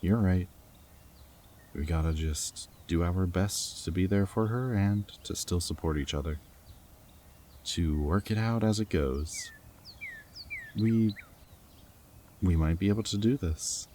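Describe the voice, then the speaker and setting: A man's voice: deep voice, slow, pauses often, mellow, a little hiss or hum. A man playing a goofy, affectionate young rebel scientist in an intimate roleplay audio drama, talking tenderly and earnestly to his partner. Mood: tender, anxious. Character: goofy, affectionate young male rebel lab scientist and anxious foster parent.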